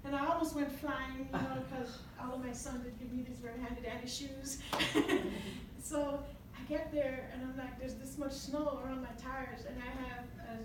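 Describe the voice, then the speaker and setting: A high-voiced woman from Jamaica leads a lively workshop, chatty and quick to laugh as she tells stories. She is recorded from a distance in a large, echoing meeting room with a noisy background. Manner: chatty, laughs often, animated storytelling. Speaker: workshop presenter. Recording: echoey room from far off, noisy.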